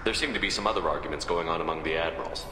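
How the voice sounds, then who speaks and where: An actor playing a direct, businesslike military captain in a video game, asking probing questions. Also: male voice, rumbling low, very fast. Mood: probing, steady. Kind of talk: video game dialogue acting. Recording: echoey hall reverb, music behind.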